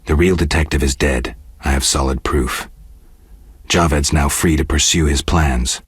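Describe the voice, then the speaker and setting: Very deep, raspy monster hunter. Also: male voice, a little slow, dry recording, studio quality, heavily acted. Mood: probing, dry. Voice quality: gravelly, husky. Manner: terse, deadpan, restrained. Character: stoic, weary monster-hunting witcher, adult man. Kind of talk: video game character dialogue.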